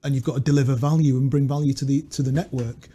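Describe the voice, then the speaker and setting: A man's voice: mid-range voice, steady tone, quick pace, warm tone, husky. A man co-hosting a podcast, reflective and storytelling, reading quotes into a studio mic. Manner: reflective, storytelling, laughs easily. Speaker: podcast co-host. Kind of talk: casual chat, reading quotes. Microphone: studio mic with pop filter.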